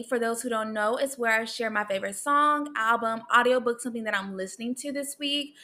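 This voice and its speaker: A woman's voice: medium pitch, sharp tone. A woman, hosting her own podcast in an upbeat, chatty way and laughing often. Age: 20s. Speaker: podcast host. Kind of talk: casual solo podcast chat.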